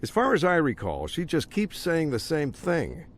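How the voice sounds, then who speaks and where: A man's voice: a little high, huge pitch swings, moderate pace. An actor playing a weary, reluctant male investigator in a video game, with a sardonic, laid-back manner.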